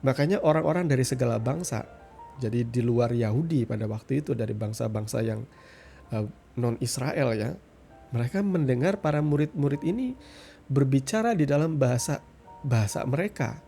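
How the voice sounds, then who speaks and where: A man with a mid-pitched, gravelly voice delivers an informal Bible reflection. His tone is dark and relaxed. He teaches in a conversational way, often adding short tags and pausing for emphasis. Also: soft background music. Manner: conversational teaching, frequent tag questions and pauses for emphasis.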